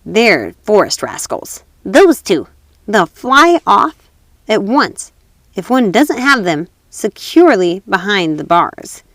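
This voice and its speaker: A woman's voice: medium pitch, very animated, takes it slowly, fairly bright tone, dry recording, studio quality, heavily acted. A woman playing a fierce, bossy little robber girl in a storybook, speaking bluntly and emphatically. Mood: bossy, boastful. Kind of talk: storybook character voice.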